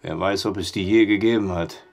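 A man's voice: sits low, fairly even intonation, a little fast, creaky, soft background music. An actor playing a dry, skeptical male barkeeper in an audio drama. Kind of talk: German audio drama acting.

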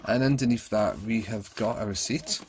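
A man's voice: on the low side, normal speed, noisy background. Chatty man giving a casual home tour. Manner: chatty, off-the-cuff, self-correcting. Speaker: vlogger, collector. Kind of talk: casual room tour narration. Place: small rooms at home, indoors. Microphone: handheld phone, held by the speaker.

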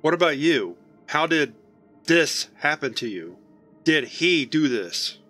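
A man playing a gentle, concerned agent questioning a child in a horror audio drama. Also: a little high, very animated, slow, faint music behind, full character voice.

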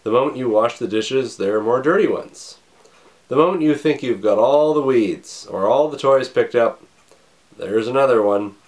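A man in his thirties, a pastor, preaching a recorded sermon from his home study with a scratchy voice and a slight cough, earnest and measured. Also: medium pitch, lively intonation, darkish tone. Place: home study with bookshelves, indoors.

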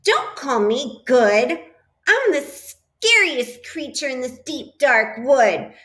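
A woman voicing a big, boastful male monster from a picture book, speaking slowly. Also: sits high, sing-song, fairly bright tone, voice pushed up, full character voice. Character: big hairy male monster, boastful then easily scared.

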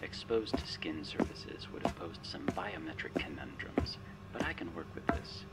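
A man's voice: extremely low pitch, expressive, moderate pace. A man playing a smug, menacing but playful artificial intelligence guiding a spy in an ASMR roleplay, wry, teasing and theatrical. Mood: wry, commanding. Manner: wry, teasing, theatrical, often dropping to a whisper.

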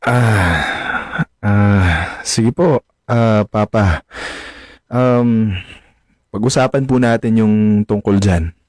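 An actor playing a respectful, earnest young son in a radio drama, polite and hesitant. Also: male voice, fairly low pitch, very slow, pauses often, a little mellow, no room sound. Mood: remorseful. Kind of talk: Filipino radio drama acting.

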